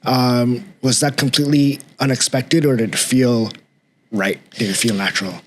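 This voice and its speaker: A man hosting a podcast who asks questions in a curious, measured way. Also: mid-range voice, steady tone. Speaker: podcast host. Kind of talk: podcast interview.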